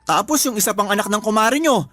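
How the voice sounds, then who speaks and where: Whiny, stubborn young son. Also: male voice, sits high, expressive, quick pace, pushed and bright, no room sound. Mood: argumentative. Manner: whiny, argumentative. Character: sullen, stubborn twenty-year-old son, talking back. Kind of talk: radio drama acting.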